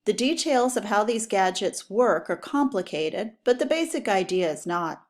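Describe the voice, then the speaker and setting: A woman teacher reading educational text aloud for pupils, with clear, deliberate, instructional phrasing. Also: mid-range voice, animated.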